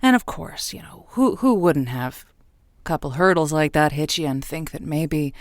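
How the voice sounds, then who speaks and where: A woman's voice: sits low, big pitch swings, moderate pace, dry recording, studio quality. A woman playing an earnest, sympathetic radio call-in host in a comedy, speaking with some hesitation. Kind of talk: improvised comedy radio show acting.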